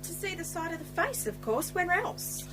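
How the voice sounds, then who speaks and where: A woman's voice: very high-pitched, big pitch swings, normal speed, recorded from a distance. A woman playing an eager, impetuous young travelling companion in an amateur radio play. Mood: impatient, curious. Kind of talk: hobby radio play acting. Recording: amateur radio play under loud music.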